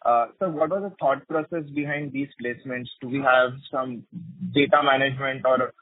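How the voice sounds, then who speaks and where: A man's voice: mid-range voice, steady tone, moderate pace, no echo. A man asking questions as an analyst on an earnings call, polite, wordy and hesitant. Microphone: phone. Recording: dry, narrow phone-like line.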